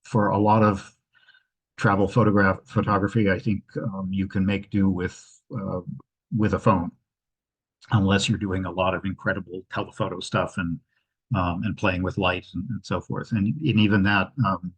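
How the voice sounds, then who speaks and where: A man's voice: low-pitched, level tone, moderate pace, breathy edge, low-quality recording. A man presenting on a video call, informally answering questions and thinking aloud in a hesitant way. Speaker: travel presenter. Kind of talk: informal question-and-answer after a travel slideshow. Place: indoors at home, on a video call. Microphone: video call microphone.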